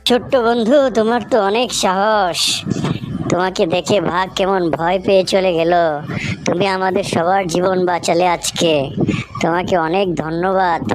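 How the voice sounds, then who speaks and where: A woman's voice: on the low side, steady tone, unhurried, over music, close to an everyday voice, poor audio. A woman voicing a kindly, friendly elephant in a children's cartoon. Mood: grateful, admiring. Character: friendly, grateful elephant of the forest.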